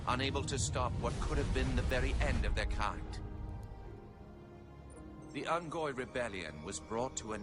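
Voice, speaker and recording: Game cutscene chronicler, male alien, actor-performed: grave, measured, stately delivery over a loud score. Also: mid-range voice, natural voice. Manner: formal, measured, grandiose. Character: solemn male alien chronicler of a zealous empire's history. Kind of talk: grave scripted narration in a video game cutscene. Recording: loud music under the voice. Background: loud cinematic music.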